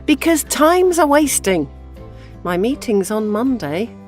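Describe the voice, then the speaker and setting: An actress playing an older widowed mother in an audio drama, grieving yet gently scheming as a matchmaker. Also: on the high side, sing-song, slow, faint music behind. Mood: brisk, nudging.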